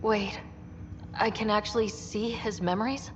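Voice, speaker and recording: A woman's voice: medium pitch, fairly even intonation, measured pace, natural voice. A young woman acting as a level-headed human explorer leader in a video game, composed and plain-spoken. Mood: calm, resolved. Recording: dull game audio, long reverb, faint noise.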